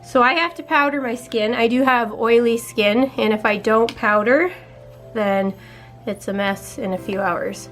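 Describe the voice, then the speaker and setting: Chatty young woman, slightly raspy. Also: on the high side, measured pace, soft background music. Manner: relaxed, chatty, explains each step. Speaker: beauty vlogger. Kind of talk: casual makeup chat.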